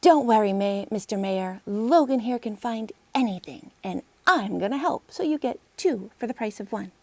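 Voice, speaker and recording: A woman's voice: fairly high pitch, expressive, normal speed, dark tone, no room sound. Woman playing a bossy, dramatic little girl detective. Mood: eager. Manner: theatrical, insistent, breezy.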